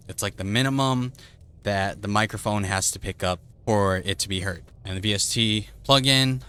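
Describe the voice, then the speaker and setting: A man's voice: on the low side, bright, forceful tone, smooth. A man streamer casually explaining audio settings in a loose, chatty way with plenty of fillers, on a desktop streaming mic at his home setup. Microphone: desktop streaming microphone with noise gate.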